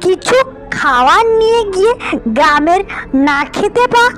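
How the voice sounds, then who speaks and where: A kind village wife, acted by a woman, speaks in an extremely high, animated voice. She advises earnestly and sensibly, in fairy-tale cartoon style. Faint music sits behind her. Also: normal speed. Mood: earnest, caring.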